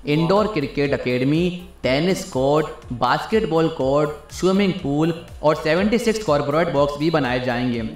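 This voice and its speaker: A man's voice: a little high, lively intonation, normal speed, hardly any pauses. A man reading scripted countdown narration in the style of an online video. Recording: roomy playback with music bed. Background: background music.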